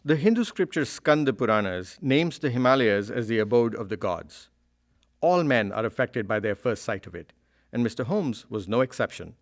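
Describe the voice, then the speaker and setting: A man playing the formal, measured narrator of a Victorian adventure in an audio drama. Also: mid-range voice, expressive, close to an everyday voice. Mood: solemn, wondering.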